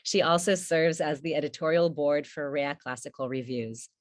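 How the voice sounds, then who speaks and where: A woman with a notably deep voice co-hosts a scholarly panel. Her delivery is halting as she searches for words, and the sound is very dry, with hardly any room to it. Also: no room sound. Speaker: artist co-hosting a panel event. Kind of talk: introducing speakers at a scholarly panel.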